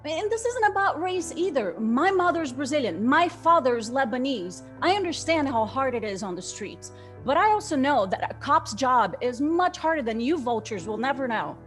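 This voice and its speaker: A woman's voice: high voice, sing-song, normal speed, faint music behind. A woman playing a blunt, combative police detective in her forties in a dramatic script read. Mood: angry, defensive. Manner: combative, outspoken. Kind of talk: screenplay table read, dramatic acting.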